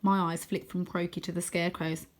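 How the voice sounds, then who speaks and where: A woman reading a children's storybook aloud in an expressive, dramatic way, sometimes hushing her voice for suspense. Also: lowish voice. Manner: dramatic, deliberate, dropping to hushed tones for suspense.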